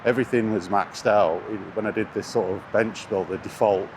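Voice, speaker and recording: Low-voiced man chatting outdoors amid noise. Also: steady tone, fairly quick. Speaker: gaming YouTuber. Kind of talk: casual rambling chat, walkie-talkie vlog. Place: outdoors, walking near traffic. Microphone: handheld camera. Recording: noisy outdoor recording with urban traffic. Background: outdoor urban and vehicle noise.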